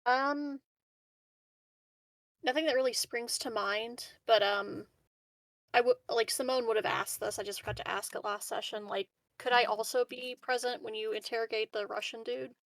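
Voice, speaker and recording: Fairly high female voice, noticeable vocal fry, casual game-player chat, dry close recording. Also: fairly even intonation, normal speed, a bit bright, no echo.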